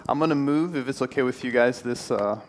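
A man's voice: medium pitch, fairly quick, slight background noise. A young man in his twenties from Puerto Rico, giving a friendly, earnest church talk in a hall. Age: 20s. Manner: friendly, earnest, some restarts. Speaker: guest speaker. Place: church hall with stone fireplace. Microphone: handheld mic, then headset.

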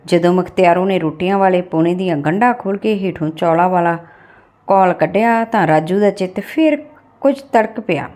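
A woman reads a literary story aloud as an audiobook narrator, with expressive delivery. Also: on the low side, moderate pace.

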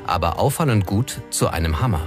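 A man's voice: deep voice, animated, fairly quick. A man reading scripted documentary narration. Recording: music bed under the voice. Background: background music.

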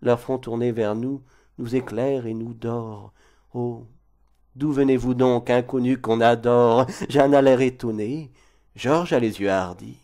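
A man recites verse at a slow pace in a mid-pitched voice. His delivery is expressive and declamatory, with measured phrases set apart by dramatic pauses, in the manner of a literary reading. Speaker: poetry reader.

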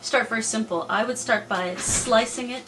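A young woman farmer answering interview questions in a small cluttered office, calm and plain, explaining step by step. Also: fairly high pitch, moderate pace, noisy background. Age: young adult. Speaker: mushroom and poultry farmer.

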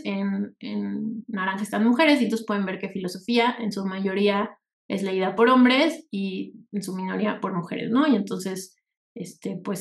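A woman with a Mexican accent leads a workshop in an informal, conversational way. Her voice sits at an average pitch for a woman, and she explains things while often tagging on rhetorical questions. The recording has a clearly echoey room sound. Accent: Mexican Spanish. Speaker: workshop instructor. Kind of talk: informal spoken lecture over slides.

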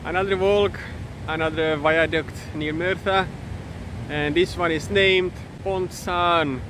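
A man in his thirties, not a native English speaker, hosting a casual walking vlog outdoors and talking into a phone held at arm's length, with many fillers and halting restarts. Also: a little high, fairly even intonation. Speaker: vlogger. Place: outdoors in countryside by a river. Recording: outdoor wind and stream noise.

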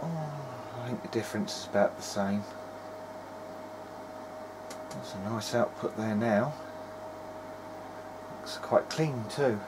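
A man giving casual running commentary as he tests electronics, muttering to himself in short, halting remarks. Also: on the low side. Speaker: hobbyist presenter of a video diary. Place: small cluttered indoor electronics workbench. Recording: clear background noise in workshop.

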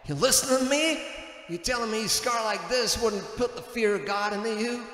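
A man's voice: high-pitched, fairly quick, bright, forceful tone. A man playing a paranoid, cantankerous old clerk in a comedy radio play, theatrical and repetitive in his delivery. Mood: fearful, insistent. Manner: theatrical, repetitive, addresses listener as boy. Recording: echoey hall reverb.

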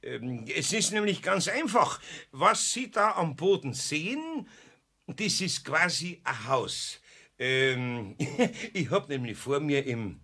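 A man's voice: a little high, sing-song, slow, sharp tone, husky, full character voice. An older man with a Bavarian accent playing a kindly, wily old master craftsman in a children's audio drama, folksy and patient. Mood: sheepish, explaining.

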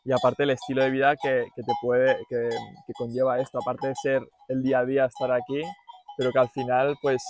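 Informal male interviewer from Spain. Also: medium pitch. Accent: Spain Spanish. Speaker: interviewer, vlog host. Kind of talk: casual conversational interviewing.

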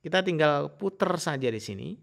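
A man giving a casual, informal software tutorial in an explanatory way. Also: fairly high pitch, expressive, a little fast, gravelly. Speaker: tutorial host.

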